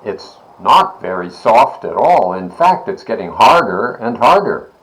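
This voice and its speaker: A man voicing a small, plucky, mud-loving pig in a storybook, with drawn-out exclamations. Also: on the low side, very animated, fairly bright tone, poor audio. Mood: puzzled.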